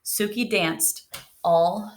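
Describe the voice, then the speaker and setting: A woman reading a children's picture book aloud in a gentle, unhurried way. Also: medium pitch, fairly even intonation. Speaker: storybook reader. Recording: echoey room.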